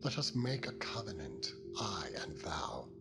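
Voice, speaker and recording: Guy doing solemn possessive bible father-in-law, grand archaic oratory, lively pitch, music behind. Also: measured pace, vocal fry, heavily acted. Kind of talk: dramatized scripture reading.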